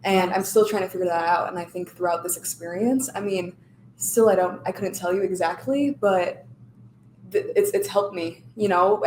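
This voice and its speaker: A woman's voice: mid-range voice, darkish tone. A young woman student asks her questions in a mid-range voice, stumbling through fillers as she goes. The room echoes around her. A faint background hum is audible. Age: young adult. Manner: hesitant, lots of "like" and "um". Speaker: student interviewer. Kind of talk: casual mentoring interview.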